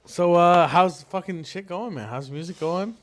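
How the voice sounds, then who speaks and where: Joking podcast host, slightly high voice. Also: male voice, moderate pace. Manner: loose, profane, joking. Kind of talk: casual podcast chat.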